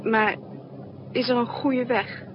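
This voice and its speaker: An actress playing a quick-witted female spy accomplice in a 1960s Dutch radio drama. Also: fairly high pitch, fairly even intonation. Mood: questioning, intent. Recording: muffled, noisy 1960s radio recording.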